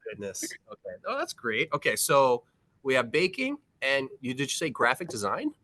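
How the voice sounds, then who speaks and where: A man co-hosting an interview over a video call, excitable and joking, talking in quick bursts. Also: mid-range voice, no room sound. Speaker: podcast co-host.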